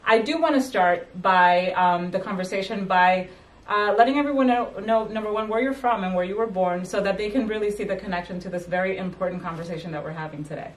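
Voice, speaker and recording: Halting woman host in a roomy room. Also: mid-range voice, far from the mic. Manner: halting, with many fillers and restarts. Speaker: host and magazine editor. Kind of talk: conversational interview.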